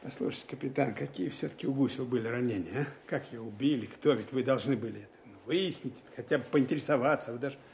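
Casting: man playing authoritative wartime lieutenant colonel, mid-pitched, terse, deliberate, dry, for radio drama with slight background noise. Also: creaky, a little mellow, natural voice. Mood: stern reproach. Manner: terse, commanding, deliberate questioning. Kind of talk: Russian wartime audio drama, narration and dialogue.

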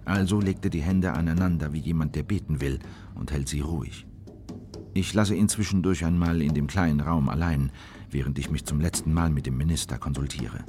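Low-voiced, grave government official. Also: male voice. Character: grave, watchful South American government official. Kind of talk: radio play first-person account. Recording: clear background noise, ambience.

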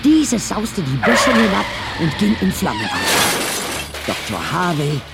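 Dramatic, creaky-voiced male narrator. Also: high voice, wildly swooping pitch, slow, over loud music. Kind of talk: scripted dramatic storytelling narration.

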